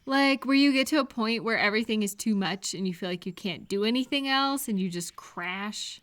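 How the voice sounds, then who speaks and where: A woman acting a caring, cheerful friend in a scripted roleplay audio, talking gently and attentively to the listener. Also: mid-range voice, close to an everyday voice. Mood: gentle, concerned. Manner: gentle, unhurried, attentive questioning with pauses for replies.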